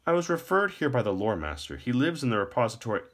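A man's voice: medium pitch, very animated, fast talker, pitched above the performer's own voice. A man voicing a young male traveler who answers politely and a little formally in a storybook. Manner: polite, trying to sound formal. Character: young male traveler, polite, playing along with restrained sarcasm.

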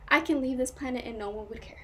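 A woman's voice: high voice, fast, a bit bright, a little hiss or hum. A woman performing a stage monologue as a troubled young woman, intense, wounded and pleading with her lover. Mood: despairing, bitter.

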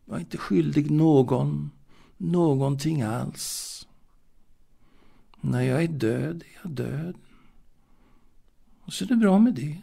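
An actor playing a lonely, melancholy ageing waiter in an intimate audio drama monologue, halting and reflective, repeating himself and pausing. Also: male voice, on the high side, gravelly, warm tone, slightly rough, exaggerated voice. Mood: resigned. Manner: halting, reflective, fussy, with repetitions and pauses. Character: lonely, melancholy ageing waiter reminiscing about his late mother.

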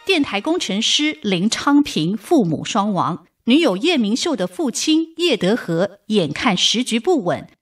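A woman's voice: a little high, very animated, unhurried, hardly any pauses, recorded from a distance, soft background music. A woman playing the solemn, formal narrator of a wartime radio drama, reading scripted storytelling.

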